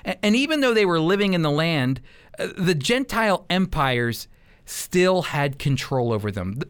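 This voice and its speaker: A man giving sermon-like Bible teaching in an earnest, emphatic manner. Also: a little high. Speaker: Bible teacher on a radio program.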